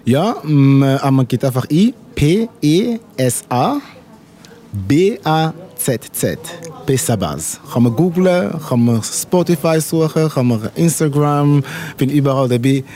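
A middle-aged man from Congo whose first language is Lingala, a musician talking in an unhurried, word-searching way in an interview at an outdoor festival. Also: on the high side, animated, dark tone. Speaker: musician guest. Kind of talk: casual interview.

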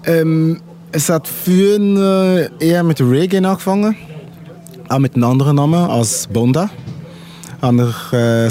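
Male musician, middle-aged, Congo-born, native Lingala, relaxed dark timbre, interviewed at an open-air festival. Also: on the high side. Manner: unhurried, searching for words. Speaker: musician guest. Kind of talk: casual interview.

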